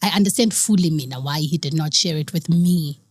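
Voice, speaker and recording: A woman's voice: sits low, a little fast, breathy edge, no room sound. Earnest South African woman telling her story. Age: young adult. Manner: earnest, mixes Zulu phrases into English. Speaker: guest. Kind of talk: personal story in an interview.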